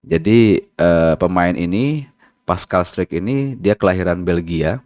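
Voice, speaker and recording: A man's voice: low voice, darkish tone, no room sound, studio quality. A man hosting a football channel, talking casually to his viewers with lots of fillers and tag questions, sometimes stumbling over foreign names. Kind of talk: casual spoken commentary.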